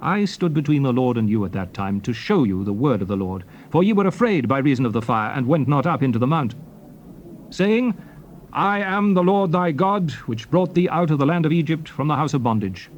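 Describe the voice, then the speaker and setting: An actor playing Moses as a grave, commanding old prophet in a dramatized scripture reading, declaiming with emphatic, swelling phrases. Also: male voice, fairly high pitch, expressive, brisk, muffled recording, natural voice. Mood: grave, urgent, then stern, commanding. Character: Moses, solemn commanding old prophet exhorting his people. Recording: faint background hiss on old cassette tape.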